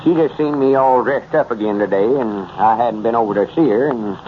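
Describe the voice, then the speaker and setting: A man's voice: mid-range voice, brisk, natural voice. Man acting a pompous old Southern country fellow. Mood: self-satisfied. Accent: rural Southern US. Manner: pompous, folksy. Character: self-important, indignant old country fellow. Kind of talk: 1930s radio comedy acting. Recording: muffled, noisy 1930s radio recording.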